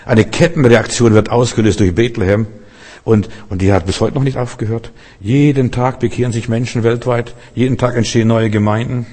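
A man's voice: on the low side, darkish tone. A man preaching a Christmas sermon in a church hall, fervent and direct, often repeating himself and questioning his listeners. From Berlin. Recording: long hall reverb.

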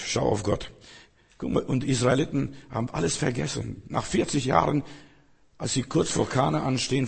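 Hoarse, gravelly preacher. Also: male voice, medium pitch, darkish tone. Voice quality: raspy, gravelly. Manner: earnest, exhorting, rhetorical questions. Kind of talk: sermon. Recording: long hall reverb.

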